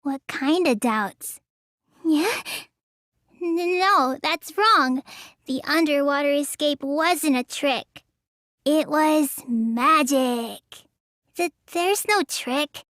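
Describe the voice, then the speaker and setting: Game dub role: childlike teenage girl magician, lazy and gullible, whiny drawl, slow delivery, very dry close sound. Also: high-pitched, fairly bright tone, no room sound, full character voice. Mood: flustered, insistent. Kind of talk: English video game dub voice acting.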